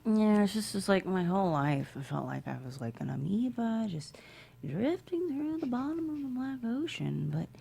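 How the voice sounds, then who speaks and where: Gravelly, lively tipsy woman played by an actress. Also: mid-range voice, moderate pace, exaggerated voice. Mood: tipsy, wistful. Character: tipsy, guilty woman spinning stories, evasive and wistful. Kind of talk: table read of a crime comedy script.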